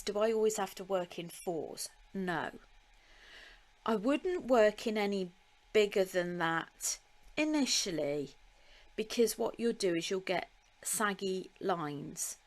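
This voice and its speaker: A woman giving a live beading tutorial, chatting with her viewers, laughing now and then and filling pauses with ums while she works. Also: medium pitch, expressive. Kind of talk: live-stream craft tutorial.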